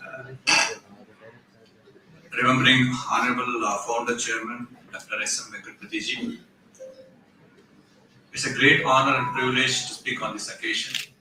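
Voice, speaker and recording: Vice principal doing a welcome speech, lots of uh's, crisp edgy voice, big echoey hall w faint hiss. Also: male voice, mid-range voice, far from the mic. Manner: formal, hesitant with frequent "uh", thanking dignitaries. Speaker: vice principal and department head. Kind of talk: formal welcome speech at a college tech fest. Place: large hall.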